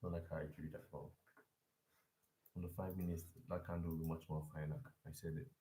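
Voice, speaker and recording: A man's voice: very deep voice, little pitch movement, fairly quick, warm tone, a little hiss or hum. A man live-streaming a forex chart breakdown and talking loosely to his chat, with restarts and repeats. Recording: echoey room.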